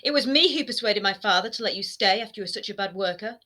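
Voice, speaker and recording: A woman voicing a shrill, nagging former queen in a storybook reading, her voice high, whining and scolding. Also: animated, fast. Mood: bitter. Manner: shrill and scolding, rising to near shouting. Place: home room lined with bookshelves. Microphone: webcam framing, seated close to camera.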